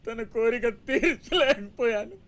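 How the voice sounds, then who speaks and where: A man's voice: very high-pitched, animated, measured pace, a bit bright, exaggerated voice. An actor playing a distraught, guilt-ridden young man in a melodramatic Telugu film. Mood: anguished, pleading. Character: distraught guilt-ridden young man begging to die over his mother.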